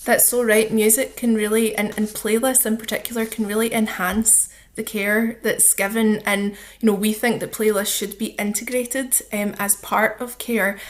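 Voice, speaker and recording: Female charity spokesperson for a radio interview: mid-pitched, fairly level delivery, earnest and explanatory. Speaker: charity communities officer, interview guest.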